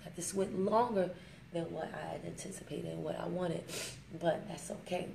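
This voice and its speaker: Emphatic woman with a gravelly, roomy sound. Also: fairly low pitch, fairly even intonation, warm tone. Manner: emphatic, preachy, fond of rhymes, slows down to stress points. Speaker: network marketing vlogger. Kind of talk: motivational vlog talk to camera. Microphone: smartphone. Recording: echoey room with faint ambient noise.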